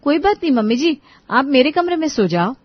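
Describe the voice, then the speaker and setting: Narrator doing a high, polite young daughter-in-law. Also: female voice, sing-song, a little fast, lo-fi sound. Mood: obliging. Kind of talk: Hindi cartoon moral story acting.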